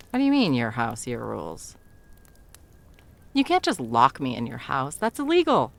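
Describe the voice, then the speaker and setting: A woman's voice: deep voice, vocal fry, exaggerated voice. A woman playing a bumbling, flustered female Christmas elf in a whimsical comedic audio roleplay, evasive and sarcastic, rambling and backtracking as she goes. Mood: indignant.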